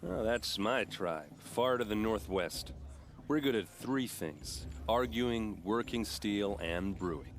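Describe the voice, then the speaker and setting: A man's voice: fairly low pitch, animated, slow pace. An actor playing a friendly, chatty young warrior who boasts about his tribe and his steel armor. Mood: boastful. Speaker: soldier in a king's vanguard. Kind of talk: video game cutscene dialogue. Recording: game audio with ambient noise.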